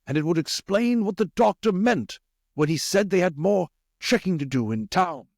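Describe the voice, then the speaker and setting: A man voicing an earnest, commanding older rat leader for an audiobook, with a measured, questioning delivery. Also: sits high, animated, darkish tone, no room sound, studio quality. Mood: pondering. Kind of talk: audiobook character voice.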